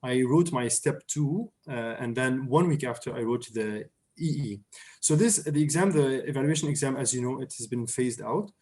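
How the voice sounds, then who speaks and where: Young man from Syria, halting speech. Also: mid-range voice, a little mellow. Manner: hesitant, many fillers and restarts. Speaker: interview guest, surgery resident. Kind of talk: casual conversational interview.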